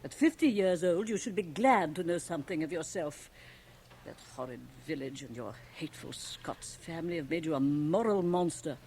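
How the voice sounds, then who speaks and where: Worldly middle-aged confidante for radio drama: commanding, mocking, low for a woman, faint tape noise. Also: lively intonation, moderate pace, darkish tone. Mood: stern, cutting. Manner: blunt, mocking, theatrical. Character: shrewd, teasing, domineering worldly woman confidante. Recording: faintly noisy 1980 radio cassette transfer.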